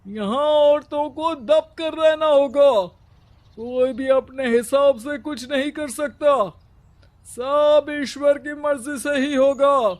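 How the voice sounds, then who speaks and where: A man's voice: extremely high pitch, steady tone, slow. A man playing a harsh village headman. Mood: stern. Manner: harsh, commanding. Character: stern domineering village headman.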